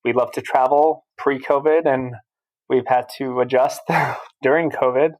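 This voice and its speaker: A man's voice: mid-range voice, fairly even intonation, measured pace, a little mellow, poor audio. A man in his thirties from Albania, a podcast guest talking casually and telling his story steadily. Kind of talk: casual interview chat.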